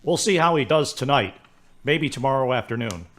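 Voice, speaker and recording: Man voicing calm doctor, clinical, reassuring. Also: medium pitch, moderate pace, lightly acted. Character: calm, matter-of-fact hospital doctor. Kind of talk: amateur radio drama acting.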